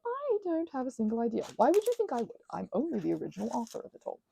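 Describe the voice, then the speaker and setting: A woman chatting casually in a rambling, digressive way to her audience, animated and poking fun at herself. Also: a little high, racing, gravelly, husky. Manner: animated, self-mocking, digressive. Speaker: hobbyist creator talking to her audience. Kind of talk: casual rambling chat, ASMR channel.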